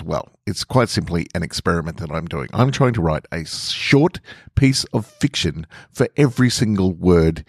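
Deep-voiced man, dry close recording. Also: creaky, breathy edge, no echo. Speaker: podcast host reading own short fiction. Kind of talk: casual intro and narrated short stories.

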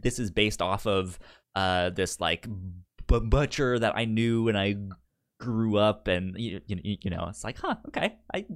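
Male co-host, casual film chat, lively varied intonation, frequent stammered repetitions. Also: fairly low pitch, unhurried. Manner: stammering, repeats first sounds of words. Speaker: podcast co-host.

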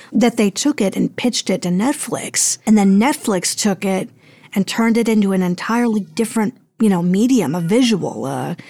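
A woman's voice: mid-range voice, a little mellow, no room sound. A woman speaking casually as an interview guest, rambling a little and often searching for her words. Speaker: interview guest, audio drama producer.

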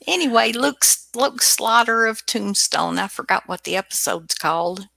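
A woman's voice: on the high side, expressive, normal speed, gravelly, bright, forceful tone, dry recording. A woman hosting a radio show in casual, playful, unscripted chat.

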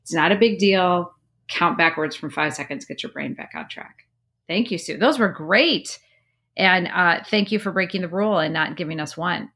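Low-voiced upbeat woman hosting a podcast. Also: moderate pace, creaky, fairly bright tone. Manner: upbeat, laughs often. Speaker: podcast host. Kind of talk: casual interview talk.

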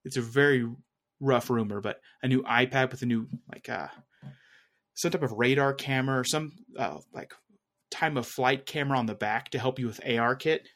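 A man's voice: mid-range voice, fairly bright tone. Guy just chatting like on a tech podcast, kinda rambly, keeps backtracking mid sentence. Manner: rambling, hesitant, self-correcting. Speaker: podcast co-host talking tech. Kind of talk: casual chat.

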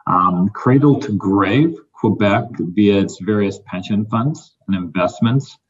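A man speaking as a mining company executive on a panel, measured and businesslike. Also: lowish voice, lo-fi sound. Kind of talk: panel discussion.

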